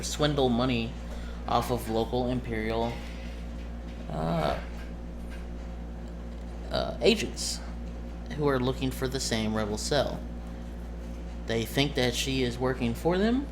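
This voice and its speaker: A man talking as game master, explaining a tabletop game scenario casually in a halting, self-correcting way. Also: medium pitch, noisy background.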